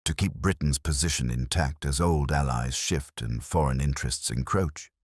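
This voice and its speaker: A man's voice: a bass voice, normal speed, slightly rough, dry recording, clean studio sound. A man voicing an attentive, questioning companion to a detective in an audiobook story.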